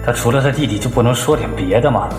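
An actor playing a shallow, self-centred young boyfriend in an audio drama. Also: male voice, mid-range voice, racing, lightly acted. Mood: bored, dismissive. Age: young adult. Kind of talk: Chinese audio drama acting. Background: piano and instrumental music.

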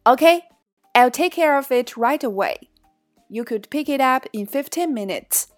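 A woman reading the lines of a helpful female pharmacist in a clear, careful textbook manner. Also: high voice, lively intonation, a little slow, dry recording, studio quality, soft background music.

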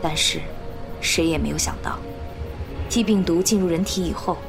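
An actress playing a guarded fugitive woman, an escaped bioweapon, speaking gravely and in a measured way in a radio drama. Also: lowish voice. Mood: somber. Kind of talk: dubbed Chinese radio drama acting. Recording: music bed under the voice.

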